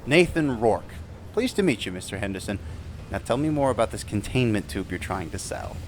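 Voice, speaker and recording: A man's voice: lowish voice, very animated, fairly quick, slight background noise. A man playing a glib, cocky young hustler in an audio drama. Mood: sly.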